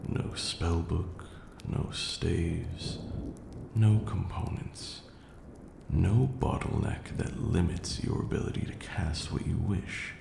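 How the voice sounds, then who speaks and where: Ancient male vampire lord, acted by a man for ASMR roleplay: very low, heavy fry, formal, condescending yet tender mentor. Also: exaggerated voice. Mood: lecturing, assured. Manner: measured, formal archaic diction, condescending yet doting. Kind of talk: slow intimate ASMR roleplay acting. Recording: roomy echo, faint background noise.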